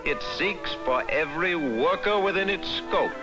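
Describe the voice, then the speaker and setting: An actor playing a stately, self-assured American president in an educational film, speaking in formal oratorical phrases. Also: male voice, on the high side, expressive, measured pace. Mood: stately, earnest. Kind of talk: formal oratorical quote reading. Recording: old film soundtrack with loud music.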